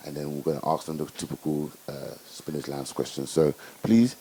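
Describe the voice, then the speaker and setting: Man, very low voice, mellow tone, interview host, rambling questions, many fillers. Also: a little fast, a little hiss or hum. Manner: rambling questions full of "you know" and "obviously". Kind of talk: casual interview hosting.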